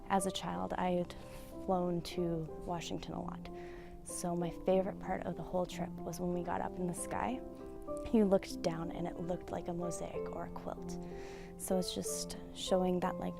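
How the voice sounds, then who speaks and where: A woman's voice: lowish voice, flat delivery, moderate pace. A woman from Canada answering an interview casually with lots of fillers. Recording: music playing clearly behind.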